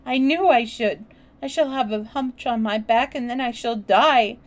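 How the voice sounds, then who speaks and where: A woman's voice: a little high, unhurried, voice pushed up, lightly acted. A woman voicing a frightened, sickly young boy in a storybook, gasping, stammering and choking with sobs. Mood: choked despair. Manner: stammering, breathless. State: gasping, sobbing, choked.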